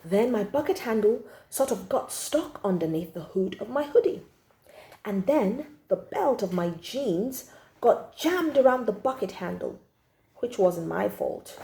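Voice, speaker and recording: A woman reading a children's story aloud in an expressive, emphatic storytelling style. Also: mid-range voice, unhurried, creaky. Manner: animated, emphatic, acts out the child narrator's feelings. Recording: echoey room.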